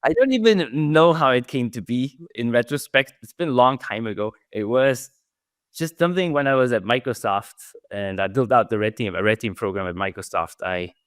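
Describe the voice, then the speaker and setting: Austrian man, soft trailing answers, dry mic. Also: mid-range voice, lively intonation, normal speed, dry recording. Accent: Austrian German. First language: German. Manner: thoughtful, restarts phrases, trails off softly. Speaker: security researcher guest. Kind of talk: casual interview answers.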